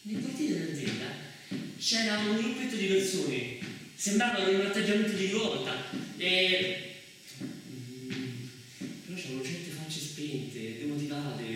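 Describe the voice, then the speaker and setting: A man performing a solo stage monologue, telling a story in a halting, repetitive, dramatic way. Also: fairly high pitch, animated, recorded from a distance. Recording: echoey hall from afar, faint noise.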